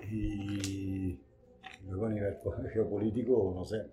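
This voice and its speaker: A man's voice: fairly low pitch, level tone, slow, noisy background. A man in his forties, born in Italy and raised in Venezuela, chatting as a podcast guest in a rambling way and repeating himself. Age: 40s. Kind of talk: casual conversation.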